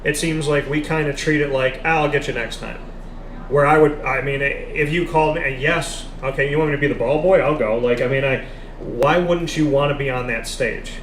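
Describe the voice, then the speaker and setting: A man's voice: on the high side, steady tone, a little fast, a bit bright. A man with a slightly raised voice talks sports with insistent, repetitive emphasis. He is recorded live in a café, so the sound carries a roomy echo and faint chatter underneath. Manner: emphatic, repeats points for stress. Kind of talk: live casual sports discussion. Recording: echoey café with background noise.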